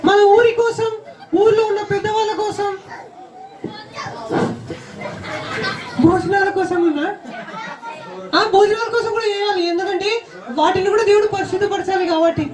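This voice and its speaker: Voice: extremely high pitch, fairly quick. A puppeteer voicing a childlike puppet, exhorting children and asking them questions at a Christmas puppet show. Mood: playful. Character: squeaky childlike puppet preaching cheerfully to children. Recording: echoey hall, crowd and noise behind.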